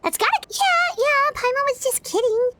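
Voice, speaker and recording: A woman voicing a small, excitable floating companion girl who chatters about herself in the third person. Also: extremely high pitch, normal speed, a little hiss or hum, full character voice. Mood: animated. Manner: chatty, refers to herself by name. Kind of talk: game voice acting.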